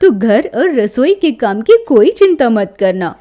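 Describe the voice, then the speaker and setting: A woman's voice: fairly high pitch, big pitch swings, measured pace. A woman voicing a theatrical, emphatic older mother-in-law in a family comedy story. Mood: worried, doting. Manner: loud, emphatic, dramatic. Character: gullible, theatrical older mother-in-law turning sternly vengeful.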